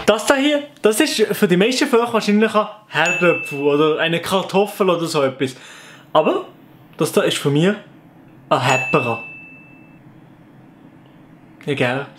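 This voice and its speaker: Young guy w sensler swiss accent, high voice, chatty dialect vlog at home, bit of faint room hiss. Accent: Sensler Swiss German. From Canton of Fribourg, Switzerland. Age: young adult. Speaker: YouTuber. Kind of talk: casual dialect explainer. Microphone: camera.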